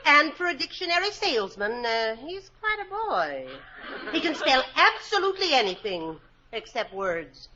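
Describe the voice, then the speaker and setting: A woman's voice: high-pitched, sing-song, lo-fi sound. A teasing, feisty married lady. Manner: teasing, quick-witted, laughing. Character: wisecracking married woman, bored and feisty. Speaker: radio actress. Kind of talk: 1940s radio comedy acting. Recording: scratchy, noisy 1940s radio broadcast.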